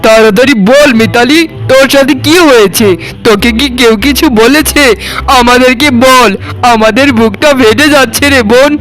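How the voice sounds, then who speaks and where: A man's voice: extremely high pitch, normal speed, fairly bright tone, poor audio. A man voicing a doting, worried village brother in a melodramatic cartoon, anxious and overprotective. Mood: alarmed.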